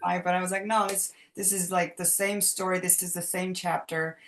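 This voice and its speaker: A young woman from France talks loosely in an interview, her words trailing through fillers and restarts. Her voice sits a little low for a woman. She speaks over a video call. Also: level tone, moderate pace, a bit bright, a little hiss or hum. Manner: loose, rambling, many fillers. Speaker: singer, interview guest. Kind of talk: casual interview talk.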